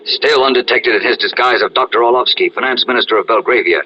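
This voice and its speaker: A man narrating in a dramatic, scripted old-time radio serial style, with a husky voice. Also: mid-range voice, quick pace, muffled recording, slight background noise.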